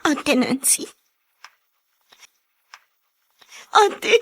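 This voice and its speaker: Worried little sister, grown up, subdued but determined, earnest radio drama delivery. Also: female voice, takes it slowly, a bit bright. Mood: troubled, confessing. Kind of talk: Tagalog radio drama acting.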